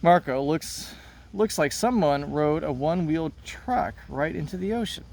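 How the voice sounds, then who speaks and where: Higher-voiced man reading aloud to students. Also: lively intonation, measured pace, creaky, darkish tone, distant mic. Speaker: teacher. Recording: noticeable background noise.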